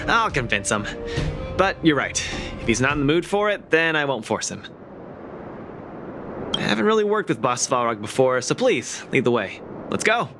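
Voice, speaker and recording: An eager young fighter in a video game, cocky and cheerful. His delivery swings widely in pitch and stays breezy and informal, with music clearly playing underneath. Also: big pitch swings, moderate pace, long pauses. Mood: earnest, determined.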